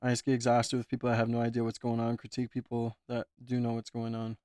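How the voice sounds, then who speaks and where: A man's voice: mid-range voice, completely flat delivery, fast talker, warm tone, clean tone, no room sound, studio quality. A man hosting his own livestream with a sore throat, chatting casually and reading viewer comments aloud. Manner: chatty, asks for likes and reads chat aloud. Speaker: livestream host.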